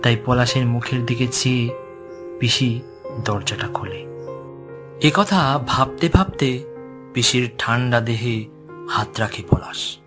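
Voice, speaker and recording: A man's voice: mid-range voice, slow, pauses often. A man narrating a horror story in a dramatic, scripted storytelling style. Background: music.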